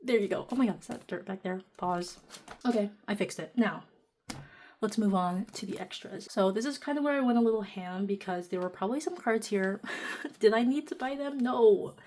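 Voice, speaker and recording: A woman vlogger chatting casually and a little quietly while sitting down at home, with lots of fillers and laughs. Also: medium pitch, moderate pace, slight background noise. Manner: talking softly while seated. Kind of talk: casual chatty vlog narration. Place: indoors at home, seated.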